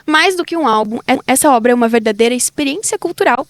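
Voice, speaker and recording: A woman's voice: sits high, lively intonation, fast, no echo. A woman hosting an interview podcast as a reporter, opening the show and asking questions in a studio.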